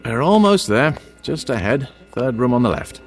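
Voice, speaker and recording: Smooth, menacing male doctor, radio drama: patronizing, highly animated melody, medium register. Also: normal speed. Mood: reassuring. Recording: music bed under voice.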